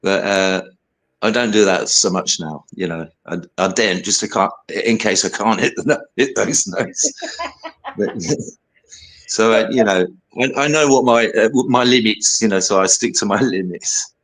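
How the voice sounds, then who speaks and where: Older UK male guest, low baritone, rambling, filler-heavy, casual interview. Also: animated, unhurried, fairly bright tone. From United Kingdom. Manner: rambling, hesitant, many fillers and "you know". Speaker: guest singer-songwriter.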